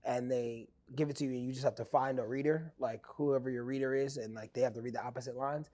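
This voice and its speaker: A man, telling stories as an actor guest in a casual interview. He rambles in an animated way with many fillers. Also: mid-range voice, fast. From New York. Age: 20s.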